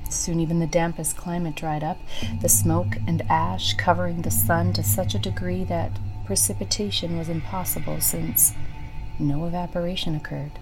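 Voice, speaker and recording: A woman playing a weary, practical survivor who records a memoir of a burned world in a solemn, measured monologue. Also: lowish voice, fairly even intonation, over music, lightly acted, poor audio. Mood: grave. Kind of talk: scripted audio drama monologue.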